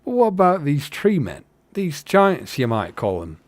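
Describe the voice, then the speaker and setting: Storybook hobbit labourer, played by a man: Irish accent, earnest, folksy, emphatic, pitched up and very lively. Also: unhurried, dry recording. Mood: earnest insistence. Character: earnest simple working hobbit, wonder-struck male tale-teller. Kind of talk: audiobook-style fantasy character reading.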